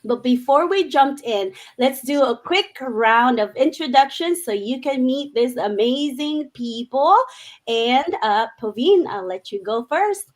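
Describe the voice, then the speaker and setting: A woman hosting a live panel over a video call, her voice sitting high. She speaks slowly, earnest and upbeat, with fillers. She tells her own story to the guests. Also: animated. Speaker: host of a live online panel.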